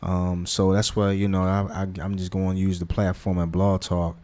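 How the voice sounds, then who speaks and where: Low-voiced man with a laid-back slangy drawl. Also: normal speed. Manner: relaxed slangy talk with many restarts and fillers. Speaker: magazine founder. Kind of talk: casual interview conversation.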